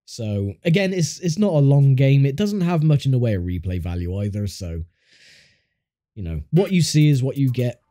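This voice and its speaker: A man's voice: medium pitch, big pitch swings, normal speed, mellow. Congested man, dry game commentary. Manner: dry, wry grumbling about the game. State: congested from a sinus infection. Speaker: gaming YouTuber. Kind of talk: casual gameplay commentary. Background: game music.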